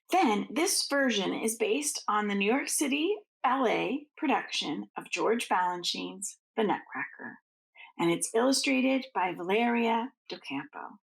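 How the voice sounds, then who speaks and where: Slow, creaky-voiced woman reading a storybook. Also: medium pitch, low-quality recording. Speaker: school teacher reading aloud to students. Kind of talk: storybook read-aloud for children.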